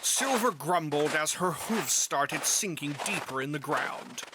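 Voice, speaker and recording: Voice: mid-range voice, lively intonation, unhurried, very noisy. A narrator reading a scripted fantasy story in a storybook style.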